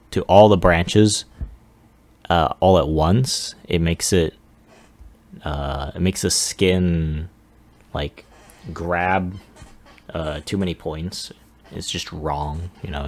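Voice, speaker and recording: A man giving a casual, unscripted software walkthrough in a halting, offhand way with mumbled asides. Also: low-pitched, no room sound. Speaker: 3D animation hobbyist presenting a demo.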